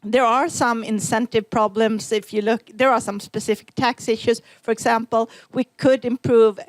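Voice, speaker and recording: A woman's voice: on the high side, fairly even intonation, moderate pace, no echo. A woman panelist at a conference speaking hesitantly with many fillers. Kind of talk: panel discussion.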